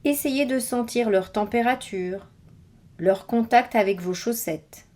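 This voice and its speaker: A woman guiding a body scan meditation with measured, scripted instructions and pauses between phrases. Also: fairly high pitch, darkish tone. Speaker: meditation guide.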